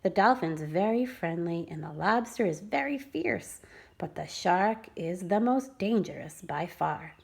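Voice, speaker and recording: A woman preschool teacher reading a rhyming picture book aloud to children with expressive storytelling. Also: on the low side, measured pace, vocal fry, breathy edge. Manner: expressive, sing-song storytelling.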